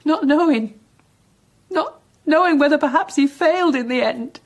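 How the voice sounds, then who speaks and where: An actress playing a grieving, bewildered Englishwoman in a period radio drama, speaking in an agitated, halting, questioning way. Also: high-pitched. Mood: anguished. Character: grieving, bewildered Englishwoman in early twentieth-century England. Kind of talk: BBC radio drama acting.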